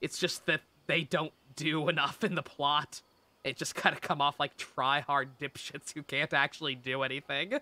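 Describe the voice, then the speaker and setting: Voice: medium pitch, flat delivery, moderate pace, bright, forceful tone, no room sound. A game streamer chatting casually over gameplay on a live stream, sarcastic, joking and sweary.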